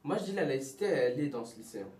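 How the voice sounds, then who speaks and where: A high school student in his late teens, answering in a casual group interview, hesitant and repeating himself. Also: animated, racing, warm tone. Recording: echoey room, from far off.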